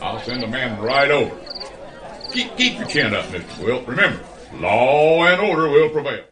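A man's voice: medium pitch, sing-song, moderate pace, a crowd in the background, lightly acted. Distant, blustery police chief played by a man. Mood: reassuring, pompous. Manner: officious, folksy bluster. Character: blustery, self-important small-town police chief. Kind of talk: community radio theater acting.

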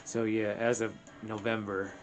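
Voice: medium pitch, flat delivery, normal speed, poor audio. A gaming show co-host chatting casually while playing, in short, offhand remarks. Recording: game music and TV sound behind. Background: video game music from a television.

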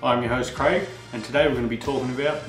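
A man in his thirties hosting a ski gear tutorial, talking straight to camera in a casual, energetic way. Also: medium pitch, quick pace. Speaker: host. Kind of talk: upbeat instructional vlog. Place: small indoor room with skis on wall. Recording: roomy echo with faint music.

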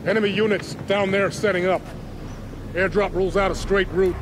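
An actor playing a stern, blunt army officer giving clipped commands in a military shooter game. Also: male voice, high voice, fairly even intonation, moderate pace, natural voice. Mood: firm, explaining. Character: stern, hard-driving male army officer, gruff and unyielding. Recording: game audio with battlefield vehicle noise.

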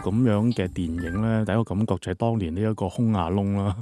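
A man's voice: lowish voice, steady tone, quick pace, mellow, soft background music. A man with a Hong Kong accent discussing films in casual radio chat, telling stories colloquially and acting out reactions. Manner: storytelling, colloquial, acts out reactions. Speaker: radio film-review guest.

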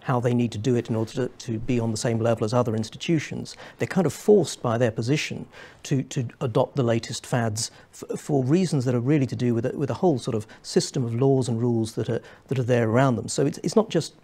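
A young man on a studio panel, explaining at length in a measured way with many restarts. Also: mid-range voice, dark tone. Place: small studio set with armchairs.

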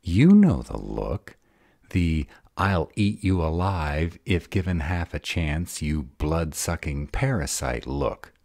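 A man's voice: extremely low pitch, very animated, slow, creaky, a little mellow, no echo, studio quality, exaggerated voice. A man playing a sarcastic bad-boy vampire in an audio roleplay drama, drawling, guarded but devoted. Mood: sardonic.

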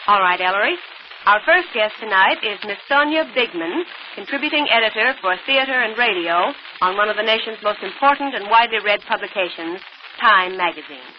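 A dull old radio recording with some hiss carries a woman acting as a detective's eager assistant. She speaks at a medium pitch with polished, formal 1940s presenting diction. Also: natural voice. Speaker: co-host introducing guests.

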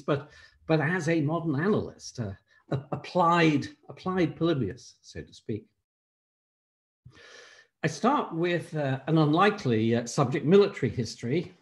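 An older man, an emeritus professor, giving a measured academic keynote over a video call from a room at home, pausing often on "uh". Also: medium pitch. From Ireland. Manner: measured scholarly delivery with frequent "uh" pauses. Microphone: laptop webcam on a video call.

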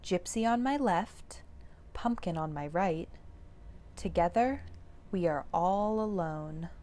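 A woman voicing an aloof, proud male shelter cat in a storybook read-aloud, with dry, slow, drawn-out lines. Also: lowish voice, animated, darkish tone. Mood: wry.

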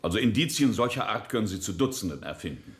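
Radio drama patriarch: middle-aged industrialist, deep, haughty, on the defensive. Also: male voice, big pitch swings, fast talker, fairly bright tone. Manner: self-important, indignant. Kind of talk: radio play dialogue.

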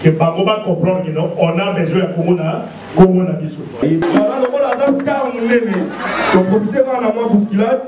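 A man's voice: high voice, brisk. A man, an activist, delivering an urgent message at the event and mixing two languages. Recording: echoey hall, crowd noise, distant mic.

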